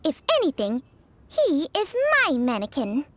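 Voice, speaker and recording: A woman's voice: high voice, big pitch swings, a little slow, no room sound, exaggerated voice. A woman voicing a proud, ancient female snake spirit in a video game, formal, haughty and admonishing. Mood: indignant, proud. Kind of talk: video game character acting.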